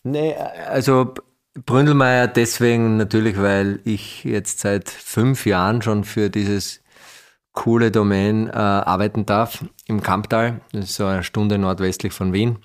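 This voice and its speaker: A man with a very low voice and an Austrian accent. He talks casually as a podcast guest, choosing his words with some hesitation and thought. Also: fairly even intonation, unhurried. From Styria, Austria. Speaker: podcast guest, winery managing director. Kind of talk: casual interview conversation.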